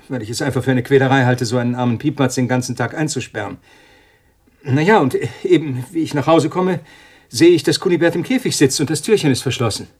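An actor playing an uneasy, irritable scientist in a German radio thriller, speaking in a hesitant, agitated way. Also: male voice, fairly high pitch, darkish tone, slightly rough. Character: uneasy, irritable male scientist living alone with budgie.

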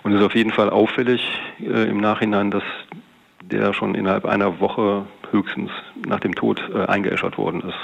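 In a dull, band-limited recording, a police detective gives an interview. The man speaks low and gravelly, in formal, official phrases that wind through long sentences with hesitations. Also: flat delivery, moderate pace.

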